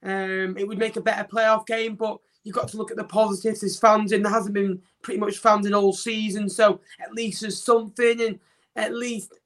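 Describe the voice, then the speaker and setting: A man's voice: high-pitched, little pitch movement, moderate pace, fairly bright tone. Blunt man co-presenting. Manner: blunt, opinionated. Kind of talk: casual football chat.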